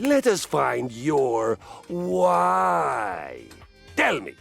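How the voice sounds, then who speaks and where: A booming boastful warrior. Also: male voice, creaky, a bit bright, breathy edge, exaggerated voice. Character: boastful swaggering male warrior trainer. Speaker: fitness coach. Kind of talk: game voice acting.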